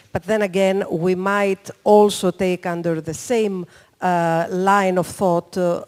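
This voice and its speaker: A panelist gives formal policy remarks, halting often on fillers between phrases. The voice sits at a middle pitch, and a long hall reverb trails each sentence. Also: darkish tone. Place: large conference hall.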